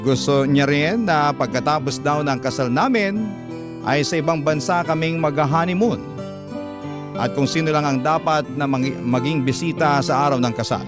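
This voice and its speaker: A man's voice: fairly high pitch, normal speed, lightly acted. A man with a Filipino accent reading a troubled mature woman's love confession as earnest radio-drama narration. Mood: anxious, confiding. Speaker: radio storyteller. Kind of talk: first-person letter narration, classic radio drama. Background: guitar music.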